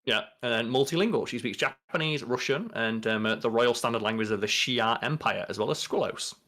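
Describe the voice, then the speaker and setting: A man's voice: medium pitch, brisk, a bit bright. A young adult man chatting casually as a podcast co-host, reading out entries and commenting in an informal, sweary way. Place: small bedroom.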